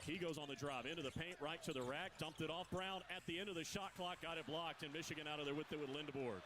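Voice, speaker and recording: Excited male play-by-play announcer, arena noise. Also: on the high side. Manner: rapid, rising excitement on big plays. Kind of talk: live radio basketball play-by-play. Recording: broadcast with loud arena noise.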